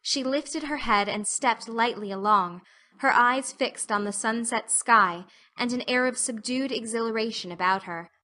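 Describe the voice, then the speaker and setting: A woman narrates an audiobook. Her voice sits a little high and has a bright, forward tone. The delivery is scripted storytelling. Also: moderate pace.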